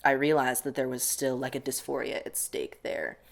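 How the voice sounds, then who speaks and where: A man's voice: medium pitch, little pitch movement, normal speed, creaky. A young man from the United States, answering interview questions in a reflective, casual way, often saying "like". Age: young adult. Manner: reflective, many filler "like"s.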